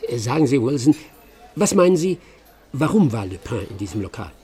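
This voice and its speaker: An actor playing a proud, sharp-witted English master detective in a radio drama, self-assured and lecturing. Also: male voice, mid-range voice, sing-song, brisk, husky. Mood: condescending. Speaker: detective Herlock Sholmes.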